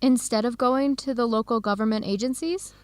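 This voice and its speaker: An actress playing a warm, enthusiastic young American woman researcher in an educational radio drama. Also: a little high, a little fast, no echo, lightly acted. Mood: friendly, curious.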